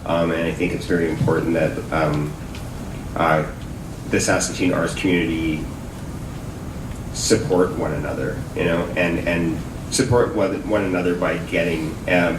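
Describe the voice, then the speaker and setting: A man speaking on an art panel, halting with many fillers, heard from a distant mic in a noisy, roomy gallery. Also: deep voice, fairly even intonation. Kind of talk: panel discussion.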